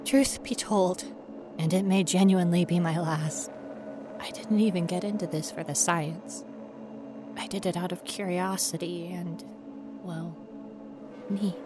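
A woman's voice: lowish voice, lightly acted. Woman acting a nervous, wry ghost researcher, music behind. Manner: rambling nervous self-talk, sardonic, often dropping to whispers. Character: frightened, wry young female ghost researcher, alone in danger. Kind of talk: audio horror monologue performance.

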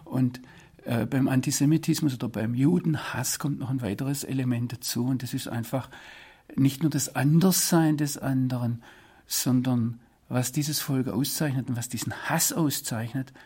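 A man from Swabia, giving earnest, deliberate interview answers. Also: medium pitch, a little mellow. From Swabia, Germany. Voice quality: husky. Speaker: interview guest.

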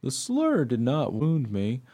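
A good-natured, unpretentious mongrel dog played by a man tells his tale in a radio play. The voice is mellow and relaxed, with animated pitch swings and a wry, conversational manner. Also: slow pace, exaggerated voice. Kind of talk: radio play first-person narration.

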